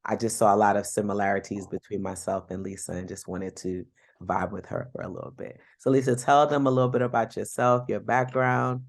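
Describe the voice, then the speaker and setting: A woman's voice: extremely low pitch, steady tone, brisk. A woman hosting a podcast, introducing her guest in an enthusiastic, casual way. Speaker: podcast host. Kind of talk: casual interview chat.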